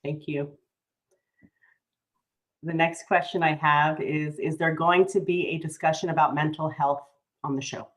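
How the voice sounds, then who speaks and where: A woman moderating a panel, asking questions in a soft, measured way. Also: low-pitched, steady tone, low-quality recording.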